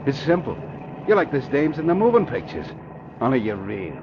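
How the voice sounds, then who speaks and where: A man's voice: mid-range voice, wildly swooping pitch, a little fast, gravelly. An actor playing a vain, brash racketeer with swaggering, slangy tough-guy talk in 1940s radio style. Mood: smug, bossy. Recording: muffled old radio recording, crowd noise.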